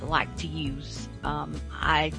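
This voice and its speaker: A woman hosting a radio show casually, folksy, halting and rambling. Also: sits low, flat delivery, creaky, over music. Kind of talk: casual unscripted show introduction.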